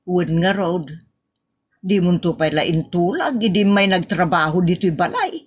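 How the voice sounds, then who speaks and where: A woman's voice: lowish voice, lively intonation, unhurried. Practical Ilocano wife, acted by a woman, faint noise. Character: practical, persuasive wife in a comic household. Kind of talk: comedy audio drama acting.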